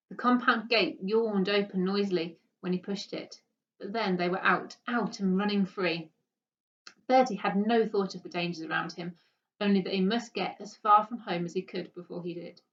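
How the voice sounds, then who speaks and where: A woman reading a children's story aloud with expressive narration. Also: medium pitch. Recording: dull, distant microphone.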